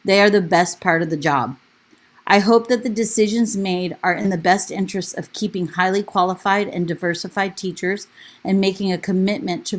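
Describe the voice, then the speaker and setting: A woman teacher and union officer delivering a prepared public comment to a school board in an earnest, steady manner over a remote call. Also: lowish voice. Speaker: teacher and union vice president. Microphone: remote call audio.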